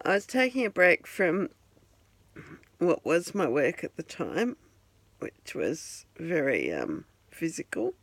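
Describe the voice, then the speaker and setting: A woman's voice: low-pitched, huge pitch swings, slow pace, no room sound. A woman, chatting to her phone camera at home in a rambling, self-deprecating way. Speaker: vlogger. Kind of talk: casual talk to camera. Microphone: handheld phone.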